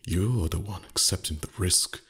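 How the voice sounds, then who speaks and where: Casting: male actor for proud, age-old dragon in intimate roleplay audio. Deep, very raspy-breathy voice, formal phrasing, teasing disdain hiding a soft heart. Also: heavily acted. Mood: haughty, protective. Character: ancient, proud dragon, gruff but secretly tender. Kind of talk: roleplay ASMR voice acting.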